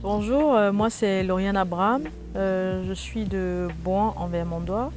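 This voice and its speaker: A woman's voice: mid-range voice, measured pace, noisy background. A woman from Cameroon giving a hesitant interview testimony. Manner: hesitant, with fillers.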